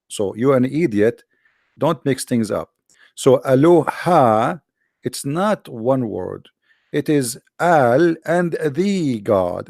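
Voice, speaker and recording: Man, native Arabic speaker, combative lecturer, halting fillers, middle pitch, dead dry sound. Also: vocal fry, a little mellow, no echo. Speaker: religious commentator. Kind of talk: lecturing video with on-screen text.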